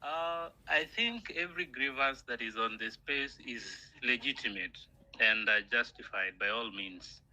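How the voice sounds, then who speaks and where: A man, speaking calmly and softly in an online audio room. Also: mid-range voice, measured pace, rough recording. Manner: calm, soft-spoken. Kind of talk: measured political talk.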